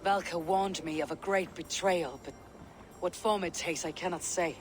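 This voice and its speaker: A man playing a loyal, grave Viking warrior in a video game, measured and earnest in speech. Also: sits high, fairly even intonation, lightly acted. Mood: troubled, earnest. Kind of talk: dramatic video game cutscene acting. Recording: loud sea and wind noise behind.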